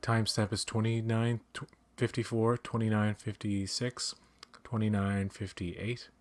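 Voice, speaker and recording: A man's voice: on the low side, level tone, a little slow. A man chatting casually during a movie watch-along, blunt and opinionated, swearing freely. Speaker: guest co-watcher.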